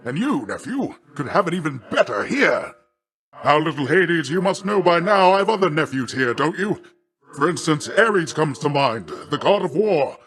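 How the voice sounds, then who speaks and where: A man's voice: fairly high pitch, very animated, moderate pace, a bit bright, soft background music. A man voicing a boisterous, boastful sea god in a video game, a jovial, doting uncle who speaks in hearty, grandiloquent, old-fashioned phrasing. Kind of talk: theatrical video game character voice acting.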